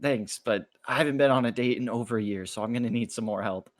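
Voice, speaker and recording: A man's voice: medium pitch, fast, no room sound, studio quality, natural voice. A man playing a nervous, self-deprecating college freshman with a hesitant delivery in a script table read. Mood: relieved, shy. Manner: hesitant, stammering when nervous.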